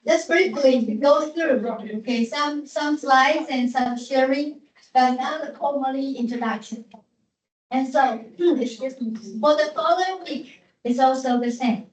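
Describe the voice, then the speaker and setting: A woman's voice: high voice, slow. A woman teacher giving classroom instructions with many fillers and repeated words. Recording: echoey classroom from far off, dull.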